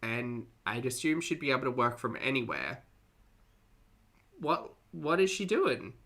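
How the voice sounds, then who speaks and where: Bright, wry streamer chatting casually. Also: mid-range voice, very animated, a little slow, creaky. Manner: wry, chuckling, often repeats phrases. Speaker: streamer reacting to videos and chatting with viewers. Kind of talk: casual live commentary.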